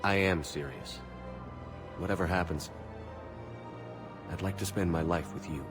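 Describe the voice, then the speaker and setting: A military commander in a video game cutscene. His voice is very low, with a calm and steady delivery. He keeps things concise, sincere and supportive while music plays underneath. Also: a little slow. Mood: tender, sincere.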